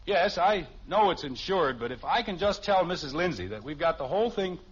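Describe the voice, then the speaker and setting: Exasperated radio sitcom dad, animated. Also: male voice, on the high side, brisk, breathy edge. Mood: flustered. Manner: weary sarcasm, sputtering when interrupted. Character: exasperated middle-aged suburban father and insurance man. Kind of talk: 1950s radio sitcom acting. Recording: muffled, hissy old radio broadcast.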